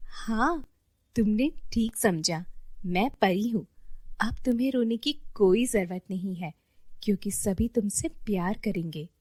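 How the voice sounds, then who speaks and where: A woman voicing a kind, helpful fairy in a children's storybook tale, soft and reassuring. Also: medium pitch, measured pace, no room sound, natural voice. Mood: comforting. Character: kind, gentle, helpful young female fairy.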